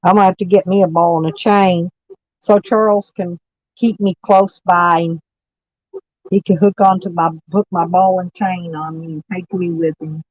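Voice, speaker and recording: Hoarse, stuffy-nosed woman chatting casually. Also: lowish voice, fairly even intonation, moderate pace, darkish tone, no room sound, highs cut off. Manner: rambling, folksy, self-correcting. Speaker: vlogger chatting with live viewers. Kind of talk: casual morning chat. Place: inside a camper van.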